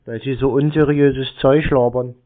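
A man's voice: medium pitch, fairly even intonation, moderate pace. Obstinate man on a tinny phone line. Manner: obstinate, questioning, teasing. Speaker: prank caller baiting phone scammers. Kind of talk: phone conversation.

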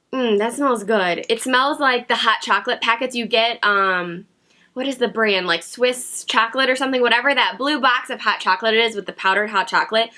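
Bright, chatty woman with a stuffy nose. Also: fairly high pitch. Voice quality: nasal. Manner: chatty, giggly, self-mocking. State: sick, slightly nasal. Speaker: beauty vlogger. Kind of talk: casual haul chat to camera. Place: home beauty room with shelves.

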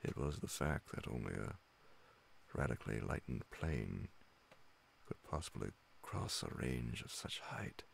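A middle-aged man with an extremely low voice full of heavy vocal fry reads a story in ASMR audiobook style. He goes slowly, with frequent pauses and a hushed delivery into a studio mic. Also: expressive, a little hiss or hum. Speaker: narrator reading classic horror stories. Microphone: large studio condenser microphone close beside him, headphones on.

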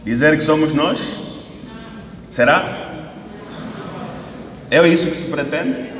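A man in his thirties with an Angolan Portuguese accent, speaking as an opposition party spokesman at a press statement. He asks rhetorical questions, repeats phrases for emphasis, and rises to shouting. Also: a little high, takes it slowly, recorded from a distance. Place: indoor hall at a podium. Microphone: podium mic on a stand, camera at a distance. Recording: echoey hall recording from the camera, faint ambient noise.

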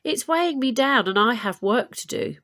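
Woman as high-voiced, hurried divine messenger. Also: lively intonation, fairly bright tone, no echo, clean studio sound. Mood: urgent. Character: burdened male winged messenger of the gods.

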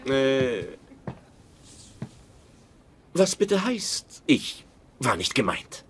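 A man's voice: mid-range voice, big pitch swings, slow. A whiny, exasperated husband in a dubbed sitcom. His male voice leaps up and down as he stammers, with noticeable noise behind him. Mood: baffled, indignant.

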